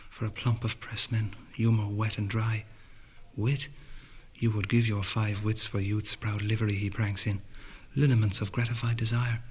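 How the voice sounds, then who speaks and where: The inner voice of a bitter, brooding young poet in a literary audio drama. He muses in clipped fragments. The voice is fairly low, husky and breathy. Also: little pitch movement, normal speed, a little mellow, rough recording. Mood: wry musing. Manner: musing, clipped fragments, partly whispered. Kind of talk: literary interior monologue.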